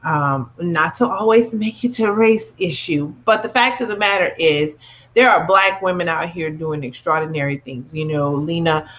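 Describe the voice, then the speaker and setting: A woman guest in a casual discussion, giving her opinions in a measured, conversational way. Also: on the low side, a bit bright. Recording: dull, lo-fi call audio.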